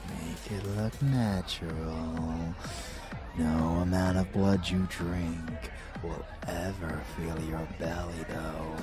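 A man playing a deep, slow, seductive vampire lover. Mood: doting, seductive. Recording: loud club music behind voice. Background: nightclub dance music.

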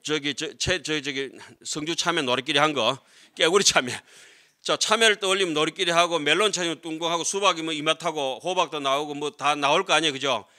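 A middle-aged man lectures as a Buddhist monk. His voice is fairly high and strikingly bright and forceful. He explains conversationally, often putting short tag questions to his listeners. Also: fairly quick. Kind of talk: classroom lecture on Buddhist scripture. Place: lecture room with seated audience, indoors. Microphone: desk gooseneck microphones.

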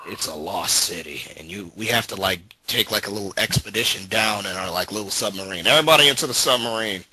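A man's voice: on the low side, fast talker, pushed and bright, husky, no echo, poor audio. A man co-hosting a casual web show, teasing his partner and spinning playful mock stories. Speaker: podcast co-host. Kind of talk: casual chat.

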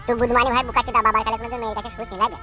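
A man's voice: very high-pitched, flat delivery, racing, pushed and bright, full character voice. A man voicing a foul-mouthed, jittery young gangster sidekick in a comedy cartoon, crude and blaming. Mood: panicked, blaming. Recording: music behind the voice.

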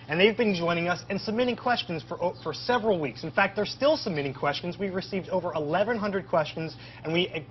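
An upbeat man in his thirties hosts an educational interview in a chatty presenter's way. The sound is a little dull, with some ambient noise from the open shed around him. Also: on the high side. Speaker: host, interviewer. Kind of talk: presenting an educational virtual tour. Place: semi-open metal farm shed. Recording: dull audio with clear background noise.

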